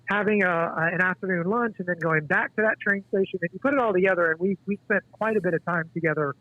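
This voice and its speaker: A man in his forties, a guest author, speaking in a halting, thoughtful way with many restarts. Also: sits high, no echo. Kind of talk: casual interview conversation. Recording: tinny dry phone line.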